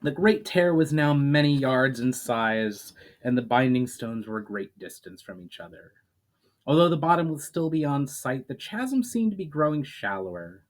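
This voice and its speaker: Guy reading his own fantasy book out loud, average pitch, pretty steady with the odd stumble. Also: moderate pace. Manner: hesitant intro with many fillers, steady storytelling read with small restarts. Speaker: self-published author.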